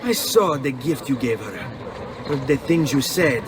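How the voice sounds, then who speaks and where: A man's voice: medium pitch, lively intonation, a little slow, noisy background, natural voice. An actor playing a cocky, charming young Italian nobleman in a video game, with an Italian accent. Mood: angry. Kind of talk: video game cutscene acting.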